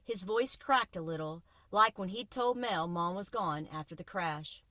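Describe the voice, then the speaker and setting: A woman reads a children's book aloud in a bright, clear-cut voice. Her delivery is expressive and emphatic, aimed at young listeners. The sound is dry, with very little room in it. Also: lowish voice, unhurried, no room sound. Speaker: read-aloud host. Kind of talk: children's audiobook read-aloud.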